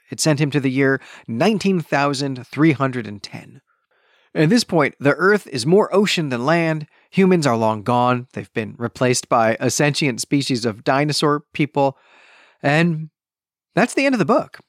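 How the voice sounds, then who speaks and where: Male podcast host for literary discussion, mid-pitched with expressive intonation, explanatory and conversational, recorded dry. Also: no room sound. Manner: conversational explaining, tags points with "right?". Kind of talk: book discussion podcast, explanatory plot summary.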